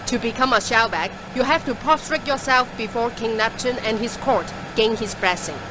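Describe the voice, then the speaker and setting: A woman's voice: fairly high pitch, moderate pace, noisy background, lightly acted. A woman playing a seasoned, superstitious transport driver in an audio drama, matter-of-fact and assured. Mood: wry, assured. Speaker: voice actor in a fiction podcast.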